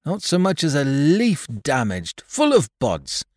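Man doing a gruff kind carrier guy, slow and plain, dry sound. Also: fairly high pitch, wildly swooping pitch, a little mellow, dry recording, voice pushed up, exaggerated voice. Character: kindly, slow-spoken male carrier.

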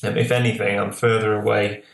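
Young man, low-ish voice, relaxed and filler-heavy, echoey room. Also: brisk, creaky. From Lancashire, northwest England. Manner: easygoing, filler-heavy, repeats words. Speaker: guest photographer. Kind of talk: relaxed interview answers.

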